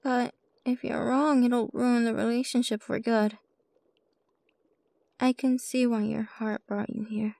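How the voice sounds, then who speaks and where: A woman playing a sly, playful witch shopkeeper with a soft heart in an ASMR roleplay, coy and teasing as she addresses the listener. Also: fairly high pitch, little pitch movement, measured pace, gravelly, long pauses, no room sound. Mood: sympathetic. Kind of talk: ASMR roleplay, scripted character monologue.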